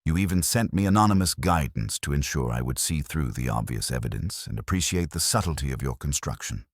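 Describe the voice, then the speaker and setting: Man playing very deep-voiced, courteous detective inspector. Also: lively intonation, dry recording, clean studio sound. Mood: relentless courtesy. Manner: measured, conversational, courteous. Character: mild, relentlessly courteous male police inspector, quietly ironic.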